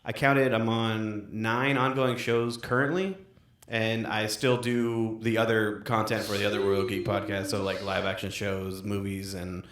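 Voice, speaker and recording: A man's voice: lowish voice, flat delivery, unhurried, fairly bright tone, echoey room. A young man hosting a casual podcast chat, rambling loosely with lots of fillers. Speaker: podcast host. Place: room recorded on video.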